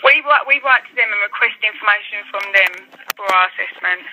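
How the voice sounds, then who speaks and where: A man with a distinctly high voice works through a phone conversation as a social worker. He explains things procedurally, with halting phrasing. The line is dull and lo-fi. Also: pushed and bright, slight background noise.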